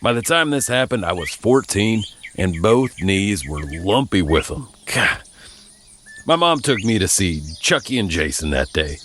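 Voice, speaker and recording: Male storyteller, American, low voice with lively swings, folksy and wry, noticeable background noise. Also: a little slow. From Virginia, United States. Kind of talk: first-person narrated story.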